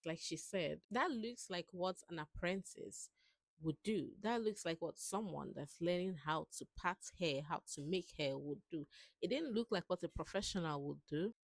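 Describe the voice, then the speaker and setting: A woman giving casual opinion commentary in a frank, measured manner. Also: on the low side, animated, no echo.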